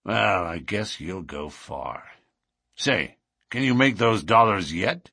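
A big, menacing lodge boss from an audiobook, acted out by a man. He is blunt and threatening, with a husky, low-set voice that moves slowly and swings widely in pitch. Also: expressive, bright, forceful tone, no room sound, clean studio sound, full character voice. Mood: amused, approving. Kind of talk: audiobook character dialogue.

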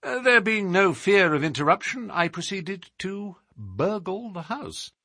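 A man voicing a self-assured gentleman detective in an audiobook, explaining in a precise, formal, theatrical way. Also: high-pitched, big pitch swings, measured pace, dry recording, studio quality, voice pushed up, full character voice. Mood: expository, confident.

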